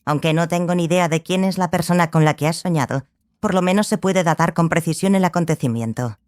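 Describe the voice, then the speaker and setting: A woman playing a learned, exacting small sorceress who mentors a student, speaking in a measured, matter-of-fact way in an audiobook drama. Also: on the low side, darkish tone, lightly acted. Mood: composed, probing.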